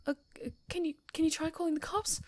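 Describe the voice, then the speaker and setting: A woman playing a young female character in an ASMR roleplay audio drama, with an expressive, dramatic delivery. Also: very high-pitched, fast talker, slight background noise. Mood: panicked, frantic. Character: friendly young woman camper turned panicked, secretly sinister stalker.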